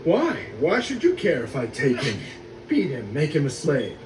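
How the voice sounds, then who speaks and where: A man voicing a stern, bitter young lord of Egypt in an animated film, questioning and accusing in a commanding manner. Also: a little high, unhurried, over music. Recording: roomy, distant, noisy film soundtrack rip.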